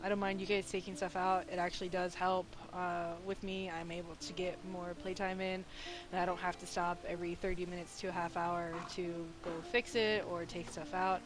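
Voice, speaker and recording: A woman's voice: fairly low pitch, level tone, a little fast. Practical woman giving guild bank news. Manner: plain, practical, with fillers. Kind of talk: casual voice chat announcement.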